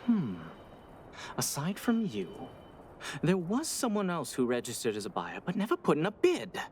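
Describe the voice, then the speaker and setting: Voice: mid-range voice, wildly swooping pitch, normal speed, darkish tone, slight background noise. An actor playing an earnest, slightly flustered auction staff member in a video game, polite and defensive. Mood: earnest, businesslike. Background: music.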